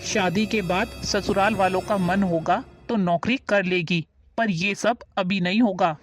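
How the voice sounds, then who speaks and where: A woman voicing a stern, controlling middle-aged uncle in an animated family drama. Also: mid-range voice, a little slow, faint music behind, lightly acted. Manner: stern, dismissive, laying down rules.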